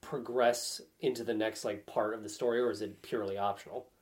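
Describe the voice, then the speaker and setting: A man's voice: fairly low pitch, steady tone, a little fast, a little mellow. A man chatting casually on a gaming podcast as a panelist, with a wry, joking way of commenting. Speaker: podcast panelist discussing video games. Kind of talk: casual chat.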